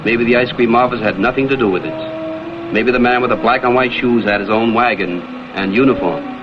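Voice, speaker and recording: A man's voice: medium pitch, moderate pace, natural voice. Purposeful detective on muffled, noisy old TV, male actor. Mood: reflective. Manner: instructive, matter-of-fact. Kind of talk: vintage television crime drama acting. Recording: dull, noisy vintage TV soundtrack.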